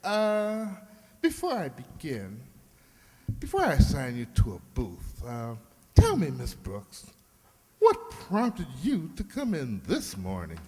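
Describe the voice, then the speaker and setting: An actor playing an effusive, flattering beauty-salon stylist in a flowery, affected manner, in a comic radio play. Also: male voice, fairly high pitch, slow, breathy edge, exaggerated voice. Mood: confiding. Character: gushing, theatrical male hairdresser, flattering and two-faced. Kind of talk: comic old-time radio sitcom acting. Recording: echoey hall with long reverb.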